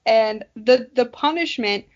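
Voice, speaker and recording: A woman co-hosting a podcast, chatting casually and telling anecdotes in an animated, joking, slangy way. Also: on the high side, a little slow, highs cut off. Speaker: podcast co-host. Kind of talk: casual chat.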